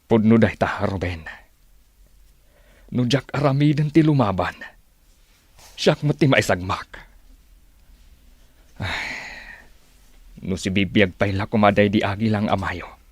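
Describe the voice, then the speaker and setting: A calm old Ilocano uncle, low-voiced and closely recorded without room sound. He gives measured, reassuring counsel in radio-drama style. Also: no echo. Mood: resolute, steady. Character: calm, wise old uncle, wounded fighter. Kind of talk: Filipino radio drama acting.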